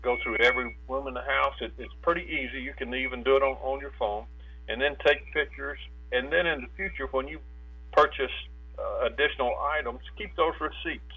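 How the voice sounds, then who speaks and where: An American man speaks over a thin, tinny telephone line with a mid-range voice. He gives practical advice as an interview guest, in a halting way, often doubling back on his words. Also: mid-range voice, breathy edge. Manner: halting, repeats words and stumbles mid-phrase. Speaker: state insurance director, interviewed guest. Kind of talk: phone interview giving preparedness advice. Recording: tinny narrow phone line with hum.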